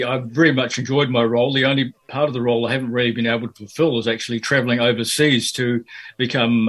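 An older man from Fiji and New Zealand talks through a radio interview about his life as a poet. His delivery stays flat and even, with little rise and fall. He hesitates often, full of fillers and false starts. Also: mid-range voice, breathy edge. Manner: hesitant, many fillers and restarts.

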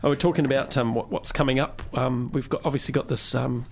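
A man with a medium-pitched voice hosts casual radio talk. He wanders through his sentences, pausing and restarting as he thinks out loud. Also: fairly even intonation, vocal fry, slight background noise. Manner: rambling, halting, thinking aloud. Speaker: radio host.

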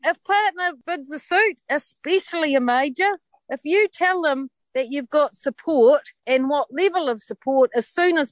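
A New Zealand woman with a high voice talks chattily over a video call from a paddock, sure of her advice. Her sound is close and dry, with no room around it. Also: no room sound, low-quality recording. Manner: chatty, confident advice-giving. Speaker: bridge tips contributor. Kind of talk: casual chat on a video call. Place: outdoors in a farm paddock.

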